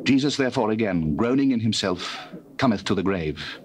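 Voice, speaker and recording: Low, expressive British scripture narrator, male. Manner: measured, solemn. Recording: hissy old cassette recording.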